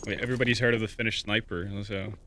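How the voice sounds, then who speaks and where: Guy casting esports, talks fast, dry jokes, noisy broadcast sound. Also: lowish voice, fairly even intonation. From Norway. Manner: dry, joking asides. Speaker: esports caster. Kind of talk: casual banter and commentary.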